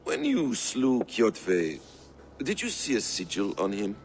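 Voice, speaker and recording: Game role: cryptic assassin mentor, calm and measured, low voice with lively melodic swings, faint ambient noise. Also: male voice, exaggerated voice. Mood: measured, secretive. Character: calm secretive male master assassin, mentoring. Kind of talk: video game dramatic acting.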